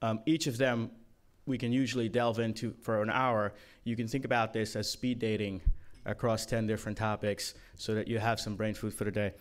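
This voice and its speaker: Low-voiced man, joking conference talk. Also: little pitch movement, moderate pace. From Guyana. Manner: casual, joking, many ums and uhs. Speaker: conference speaker. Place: conference room.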